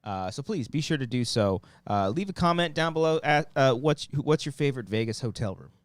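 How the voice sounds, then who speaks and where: A man's voice: mid-range voice, lively intonation, fairly quick, no echo. A man hosting a podcast, chatting casually and reading out names and thanks to supporters. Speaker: podcast host.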